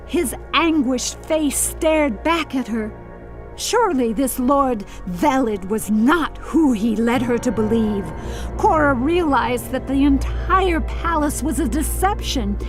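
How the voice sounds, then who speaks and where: A woman narrating a scripted fantasy audiobook in a formal, theatrical, measured storybook manner. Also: sits high. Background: faint music.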